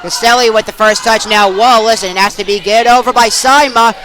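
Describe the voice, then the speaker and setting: A young man calling live volleyball play-by-play, excitable and projecting over the action. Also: sits high, fairly quick. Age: young adult. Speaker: play-by-play sports announcer. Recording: crowd and gym noise behind.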